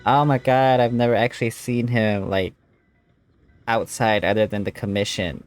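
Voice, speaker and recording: A woman's voice: extremely low pitch, normal speed. A woman giving casual, exclamatory reaction commentary while playing a video game. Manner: expressive, exclamatory. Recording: game music behind the voice.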